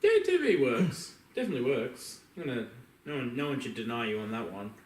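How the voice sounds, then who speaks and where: A man's voice: mid-range voice, sing-song, fairly quick. A man co-hosting a podcast, chatting casually in a rambling, slangy, conversational way. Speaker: podcast co-host. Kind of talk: casual chat about film and games. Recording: roomy, echoey, distant mic.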